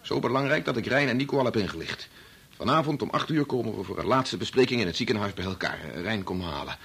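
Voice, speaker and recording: Embittered researcher, husky low voice, very lively pitch, irritable, insistent, hesitant repetitions, radio drama. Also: male voice, quick pace, heavily acted. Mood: impatient. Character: embittered, self-absorbed male medical researcher, agitated husband. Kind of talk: Dutch radio play acting.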